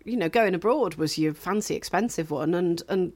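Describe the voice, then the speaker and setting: A woman's voice: fairly low pitch, normal speed, a little mellow, no echo. A woman in her late thirties co-hosting a casual podcast, a bit bunged up from a cold, chatty and wry, swearing casually. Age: late 30s. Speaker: podcast co-host. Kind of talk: casual chat.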